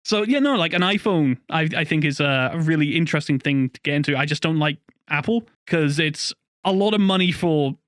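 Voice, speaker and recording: A man's voice: a little high, animated, fairly quick, hardly any pauses, fairly bright tone, no echo, clean studio sound. A young man from the UK with a slightly posh British accent, co-hosting a podcast in rambling casual chat, laughing often. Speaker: podcast co-host.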